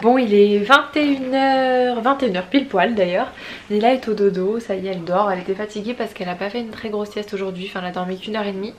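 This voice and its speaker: A chatty woman filming herself at home on a handheld camera, her voice thick and stuffy from a head cold. She rambles along casually and laughs often, with faint background noise. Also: on the high side. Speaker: vlogger. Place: home, indoors.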